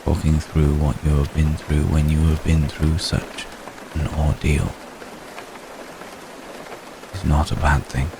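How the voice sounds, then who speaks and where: A man playing a centuries-old, lovestruck vampire gentleman in an ASMR roleplay, speaking formally and haltingly, apologetic and tender. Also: rumbling low, creaky, exaggerated voice. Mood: gentle, concerned. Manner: halting, formal, soft-spoken, deliberate phrasing. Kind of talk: ASMR roleplay, intimate dramatic monologue. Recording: steady rain noise behind the voice. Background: rain.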